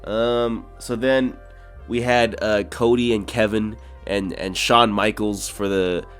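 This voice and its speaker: A man's voice: on the low side, steady tone, slow, clear, resonant tone, faint music behind. A young man co-hosting a casual wrestling chat, recapping in a wry, giggly way. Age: young adult. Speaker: co-host.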